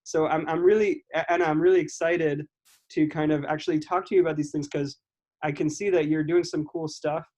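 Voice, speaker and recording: Guy doing a casual podcast interview, slightly high voice, keeps rephrasing, on a video call. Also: fairly even intonation, brisk, darkish tone. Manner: rambling, repeats and rephrases mid-sentence. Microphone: video call microphone.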